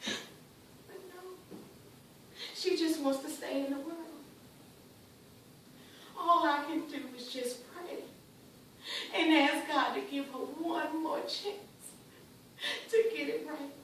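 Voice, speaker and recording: A woman performing a stage monologue as an anguished, devout mother, pleading over her wayward daughter with emphatic, dramatic pauses. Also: sits high, sing-song, pushed and bright, exaggerated voice. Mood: weary, resigned. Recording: echoey room, distant mic, faint noise.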